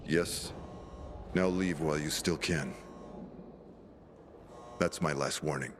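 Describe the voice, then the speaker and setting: An actor playing an older scientist in a video game, a villain with a fatherly side, speaking in a measured, grave way. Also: extremely low pitch, background noise. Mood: cold warning. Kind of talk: dramatic video game cutscene acting. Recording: loud music under the dialogue.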